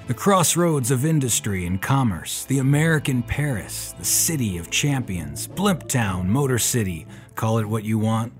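A low-voiced, world-weary private eye from a noir radio play. He is tough and wry, never in a hurry, with music running underneath. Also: a little mellow. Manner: dry, wry, unhurried. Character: world-weary tough male private detective with gravity magic. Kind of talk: hardboiled noir audio drama narration.

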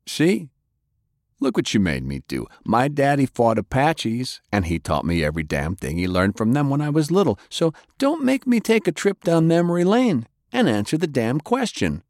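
A man voicing a rough, sardonic interrogator who talks in a folksy, taunting rural American way. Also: medium pitch, huge pitch swings, normal speed, a little mellow, no echo, studio quality, pitched above the performer's own voice. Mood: menacing, taunting.

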